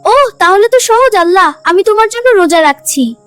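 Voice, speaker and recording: A woman's voice: very high-pitched, lively intonation, moderate pace, fairly bright tone, faint music behind. A woman voicing an earnest, devout little boy with an innocent, questioning way of talking in a children's cartoon. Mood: eager. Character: earnest, eager, devout little boy keeping his first fast. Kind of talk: children's Islamic cartoon dialogue.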